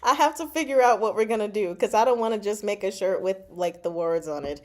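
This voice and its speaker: A woman hosting a casual book discussion, chatty and expressive, often pausing mid-thought. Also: medium pitch. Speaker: book discussion vlog host. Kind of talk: casual live book chat.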